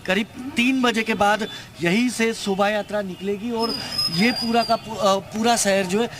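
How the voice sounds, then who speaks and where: A man's voice: high voice, steady tone, measured pace, background noise. A man reporting live for television news from a street outdoors. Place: outdoor street outside a temple.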